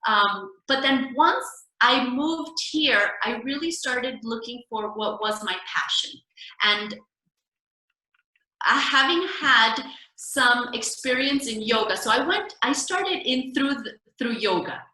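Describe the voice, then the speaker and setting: A middle-aged woman coach, a podcast guest, telling her story in an earnest, steady way over a remote call from home. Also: on the high side, takes it slowly. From United States. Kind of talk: casual podcast interview. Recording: echoey room.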